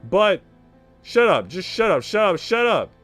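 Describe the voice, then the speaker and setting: A man acts out a spoiled young noblewoman from a visual novel, haughty and petulant. The voice is pushed higher and swings about in indignant, snapping outbursts, with music underneath. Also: very animated, measured pace, a bit bright, heavily acted. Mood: furious outrage.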